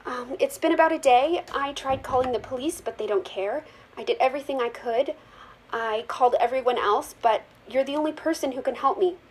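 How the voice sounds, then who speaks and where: Actress as frantic estranged sister and mother: notably high-pitched, pleading, strained delivery for audio drama. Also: brisk, husky, rough recording. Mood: desperate. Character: anxious, desperate mother, estranged sister of detective. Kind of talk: scripted audio drama dialogue.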